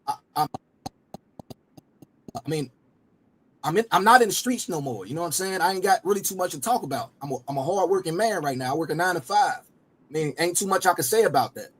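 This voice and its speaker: A man's voice: a little high, animated, fast talker, long pauses, breathy edge. A man, hosting a loose, rambling casual chat and often repeating himself. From Cincinnati area. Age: 40s. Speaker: rapper and host.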